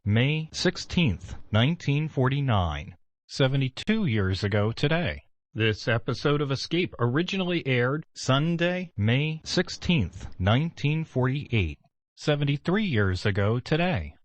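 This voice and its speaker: A man's voice: mid-range voice, expressive, a little slow, no echo. Dry, close-miked male station announcer. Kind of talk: scripted broadcast continuity announcement.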